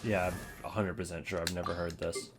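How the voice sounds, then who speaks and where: A man's voice: sits low, little pitch movement, fairly quick, music in the background. A man giving casual, opinionated commentary as the host of a ranking video.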